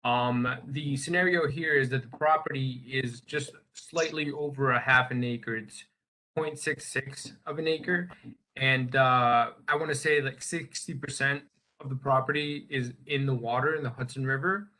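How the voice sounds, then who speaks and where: A man's voice: mid-range voice, fairly even intonation, moderate pace, sharp tone, poor audio. A man applying for a zoning variance, explaining his plan at length and hedging his figures. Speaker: applicant.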